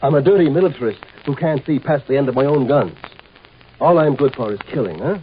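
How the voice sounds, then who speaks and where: A man's voice: medium pitch, lively intonation, fairly quick, lo-fi sound, close to an everyday voice. A man playing a blunt, sarcastic military marshal of a galactic empire in an American 1950s radio drama. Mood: bitter sarcasm. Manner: blunt, sardonic, commanding. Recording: scratchy, noisy 1950s radio broadcast.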